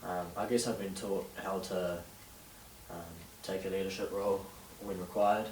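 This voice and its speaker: A young man in his late teens with a New Zealand accent, answering interview questions haltingly with many fillers. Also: low voice, flat delivery, darkish tone, slight background noise. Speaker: interviewee, young DJ and event organiser. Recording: distant roomy camera sound with faint ambience.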